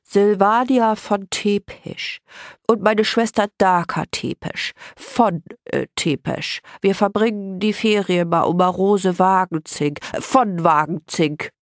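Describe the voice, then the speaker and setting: A woman's voice: medium pitch, lively intonation, a little slow, dry recording, studio quality, voice pushed up. A woman narrator voicing a polite, eager young vampire girl who speaks a little hesitantly in a children's story. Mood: affected, polite.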